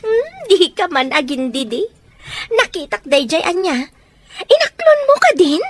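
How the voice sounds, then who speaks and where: A nosy, excitable young best friend, performed by a woman for an audio drama. Her very high voice leaps around, recorded dry with music beneath. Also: unhurried, no echo, heavily acted. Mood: nosy, teasing. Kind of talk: Ilocano audio drama acting.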